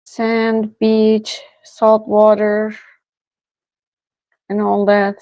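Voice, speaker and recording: Slow-talking woman streamer. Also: medium pitch, no echo, dull audio. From Turkey. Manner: slow, relaxed, chatty with viewers, soft laughs. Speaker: tarot reader streaming live. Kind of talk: casual livestream chat with viewers.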